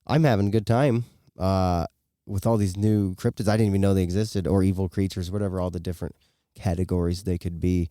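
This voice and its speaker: An American man in his thirties chatting casually as a podcast co-host, rambling in a relaxed, slangy way. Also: deep voice, fairly quick, no echo, studio quality. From western United States. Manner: laid-back, rambling, slangy. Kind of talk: casual chat.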